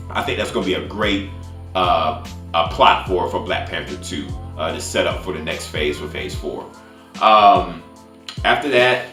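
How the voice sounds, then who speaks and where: Low-voiced man reviewing a film, roomy sound. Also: a bit bright. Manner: halting, repeats and restarts phrases. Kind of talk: casual spoiler movie review.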